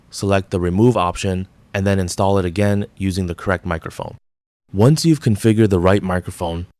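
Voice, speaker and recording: Low-voiced man narrating a how-to tutorial. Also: darkish tone, no room sound. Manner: clear step-by-step instructing.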